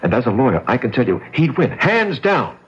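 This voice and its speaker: An actor playing an earnest, exasperated lawyer in a vintage mystery radio drama, reasoning and hesitant. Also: male voice, medium pitch, big pitch swings, a little fast, slightly rough. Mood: stern. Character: earnest, exasperated male lawyer, sceptical fiancé. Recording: dull, lo-fi old radio recording.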